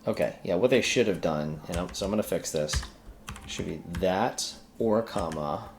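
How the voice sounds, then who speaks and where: A man's voice: deep voice, normal speed. A man hosting a casual coding tutorial, talking informally and hesitantly as he thinks aloud while working. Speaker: host of a programming tutorial. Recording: noticeable background noise, keyboard typing.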